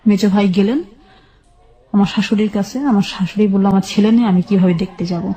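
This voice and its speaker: A woman with a Bangladeshi accent shares a personal story in an interview. Her voice is dark and soft-edged, sitting at an ordinary female pitch. She goes step by step in halting detail, often repeating a phrase. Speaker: guest telling her life story.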